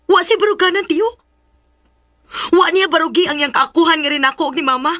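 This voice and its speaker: A woman's voice: extremely high pitch, a little fast, sharp tone, no room sound, studio quality. A woman playing a hurt, resolute young woman in a radio drama, speaking firmly. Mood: bitter, resolute.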